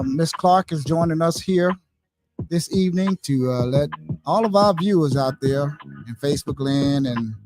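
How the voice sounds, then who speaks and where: A man's voice: medium pitch, a little mellow, poor audio. A man hosting a podcast interview, speaking hesitantly with many fillers and restarts. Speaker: podcast host.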